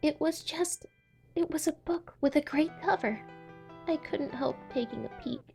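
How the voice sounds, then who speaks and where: An eager young mare from a cartoon , acted by a woman, high-pitched and very expressive. She talks in earnest, wordy bursts and is quick to apologize, with music playing behind her. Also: creaky, exaggerated voice. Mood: flustered, apologetic. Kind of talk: comic dub cartoon acting.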